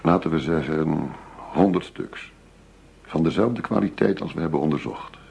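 A shrewd deal-maker in a radio play, really low gravelly voice, businesslike. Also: male voice, a little mellow, a little hiss or hum. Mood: businesslike, guarded. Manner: measured, businesslike, formal. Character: undercover male negotiator posing as a shrewd money dealer. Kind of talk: radio drama acting.

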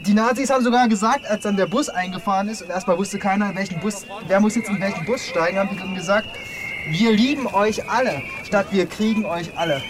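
A man's voice: sits high, fast talker, a crowd in the background. A man chatting casually and colloquially, as if telling a friend an anecdote. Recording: noisy outdoor background.

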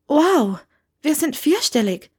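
A woman's voice: high-pitched, very animated, slow, pitched above the performer's own voice, heavily acted. A woman voicing a young witch in an audiobook, curious and puzzled, with a hesitant, stammering way of speaking. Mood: cautious. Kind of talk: audiobook character dialogue.

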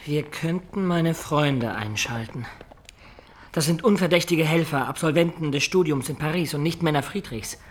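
A man's voice: on the high side, moderate pace, a little hiss or hum, natural voice. An actor playing a wistful adventurer in a radio drama, recounting his life in a reflective, confiding way. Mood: eager, practical. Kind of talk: radio drama acting and storytelling.

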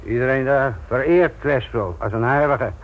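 An actor playing a blunt, sardonic, middle-aged police inspector lecturing trainees in a Dutch radio play. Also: male voice, mid-range voice, normal speed, close to an everyday voice. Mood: brisk, scornful. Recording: tinny, noisy old radio play recording.

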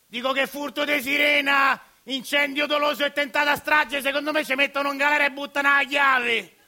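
A man voicing a gruff, sarcastic old grandfather with a Roman accent, who talks in loud, drawn-out retorts. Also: very high voice, flat delivery, voice pushed up, full character voice. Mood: scornful. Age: over 60.

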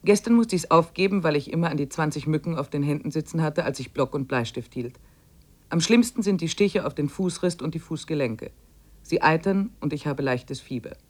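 An actress playing an observant expedition diarist, giving measured literary narration in a 1950s German radio drama. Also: female voice, deep voice, lively intonation. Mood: observant, hushed. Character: observant expedition diarist recounting days in the wilderness.

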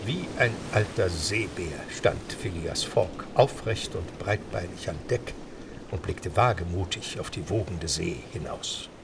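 A man's voice: low voice, measured pace, recorded from a distance, very noisy. A man narrating a scripted adventure story in a dramatic storytelling style.

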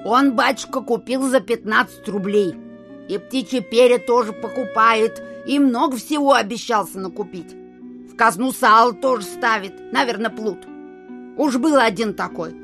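An actress playing a simple-minded, suspicious old provincial landowner in an audio play, rambling in a folksy, gossipy way. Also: female voice, a little high, normal speed, fairly bright tone, faint music behind. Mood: suspicious grumbling. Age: older.

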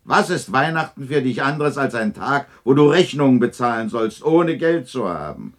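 An actor playing a miserly, grumpy old businessman in a German 1960s radio play, gruff, sneering and impatient. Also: mid-range voice, lively intonation, normal speed, close to an everyday voice. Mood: scornful.

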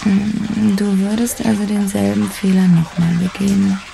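An actress playing a teasing, self-possessed lover in a radio crime drama, speaking with intimate, wry ease. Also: female voice, mid-range voice, level tone, a little slow, creaky.

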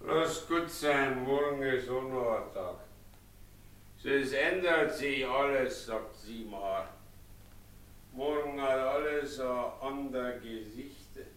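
An actor playing a brooding, wounded carter with a Silesian accent and a halting, heavy way of talking in a radio play. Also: male voice, mid-range voice, fairly bright tone, low-quality recording. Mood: weary, subdued. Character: brooding, wounded married carter, stubborn and weary. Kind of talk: naturalistic German radio play acting.

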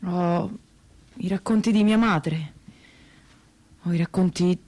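A woman's voice: fairly low pitch, slow, a little mellow. A woman playing a solemn reciter of family memories in a scripted theatrical reading.

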